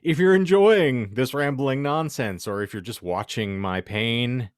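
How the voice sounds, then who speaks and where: A man's voice: mid-range voice, measured pace, clear, resonant tone, no room sound, clean studio sound. A man hosting a comedic podcast, wordy and theatrical, rambling through florid, alliterative phrasing. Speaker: podcast host.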